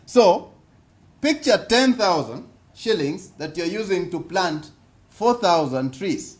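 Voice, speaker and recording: A man's voice: high-pitched, animated, slow. A Kenyan man giving an interactive seminar talk at a business forum, often asking the audience questions and repeating his points. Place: conference hall.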